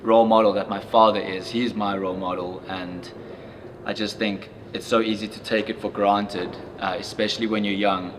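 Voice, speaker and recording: A man's voice: lowish voice, a little hiss or hum. A young man reading a story aloud, then reflecting on it in an earnest, thoughtful way with plenty of fillers. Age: young adult. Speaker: young reader reacting to a story.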